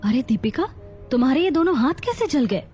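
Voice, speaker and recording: A woman's voice: high-pitched, expressive, fairly quick, faint music behind. Woman acting a caring elder sister-in-law. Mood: concerned. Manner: concerned, gently advising. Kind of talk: dramatized moral story.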